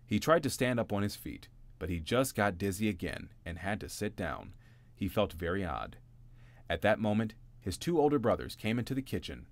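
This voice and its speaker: A man reading a bedtime story aloud in a gentle, expressive storytelling manner. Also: on the low side, normal speed, no echo. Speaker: narrator.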